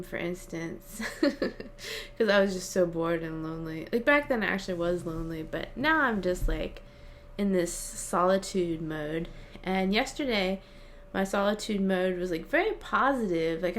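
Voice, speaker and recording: A woman's voice: medium pitch, moderate pace, slight background noise. A woman in her late thirties talking casually to camera in a personal vlog, chatty and laughing often, with frequent fillers. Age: 30s. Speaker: vlogger.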